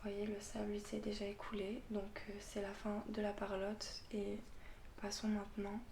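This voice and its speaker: A woman hosting her own tarot channel, talking unscripted in a slow, hesitant way, full of fillers and restarts, often dropping to a soft, half-whispered voice and chuckling at herself. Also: mid-range voice, monotone, vocal fry, a little hiss or hum. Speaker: tarot reader and channel host. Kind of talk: unscripted casual vlog monologue.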